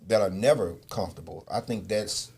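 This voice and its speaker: A guest chatting casually in a group interview, halting and restarting thoughts mid-sentence. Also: low-pitched, darkish tone, breathy edge. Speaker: guest, stage actor.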